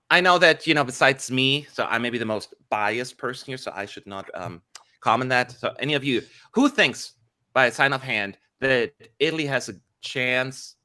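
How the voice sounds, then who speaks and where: A chatty man hosts a panel on a video call, his voice bright and full of lively rises and falls. He talks loosely, with plenty of fillers and "y'all", into a mic at home. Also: mid-range voice, smooth. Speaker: host. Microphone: studio mic on a video call.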